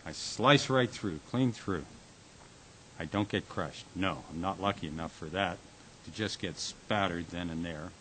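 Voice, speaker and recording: An actor playing a tormented, haunted man in a radio drama, speaking in a brooding, halting, intense way. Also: lowish voice, fairly bright tone, slightly rough, full character voice, rough recording. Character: Jack, a tormented man haunted by falling dreams. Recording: hissy amateur recording.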